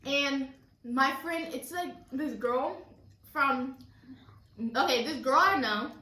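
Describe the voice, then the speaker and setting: A young woman vlogger chatting casually and retelling stories in an animated way, quoting who said what. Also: sits high, slow. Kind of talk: casual storytelling chat during a mukbang. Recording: roomy echo, distant mic.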